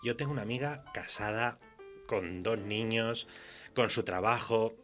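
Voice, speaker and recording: A man's voice: on the low side, measured pace, fairly bright tone. Spanish man chatting casually, music underneath. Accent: Spain. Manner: colloquial, mildly sweary, anecdotal; laughs while talking. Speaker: guest writer interviewed. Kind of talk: casual literary interview chat.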